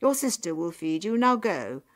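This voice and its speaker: Scolding storybook mother, played by a woman, sharp-tongued, hard and bossy. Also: low voice, animated, slow, heavily acted. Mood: dismissive. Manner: sharp, commanding. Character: harsh, scolding mother who plays favourites.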